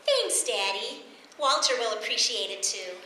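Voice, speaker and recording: A woman playing a high-voiced, chirpy teenage girl. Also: lively intonation, unhurried, pushed and bright. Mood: cheerful. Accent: American. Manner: eager, girlish, emphatic. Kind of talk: old-time radio comedy acting. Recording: echoey, faintly noisy stage recording.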